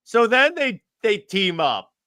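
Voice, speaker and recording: A man's voice: high-pitched, big pitch swings, slow, bright, forceful tone. A man co-hosting a podcast, casually talking through a movie in a conversational way.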